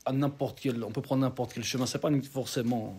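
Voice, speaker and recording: A man's voice: mid-range voice, steady tone, a little fast, darkish tone, slightly rough. A man hosting a talk show, asking loose, joking questions in a casual way. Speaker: show host. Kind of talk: casual interview chat.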